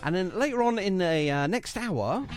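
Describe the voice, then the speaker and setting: A man's voice: high-pitched, expressive, normal speed. A man hosting a radio show in a chatty, jovial, bantering manner. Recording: music playing underneath the voice. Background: music bed.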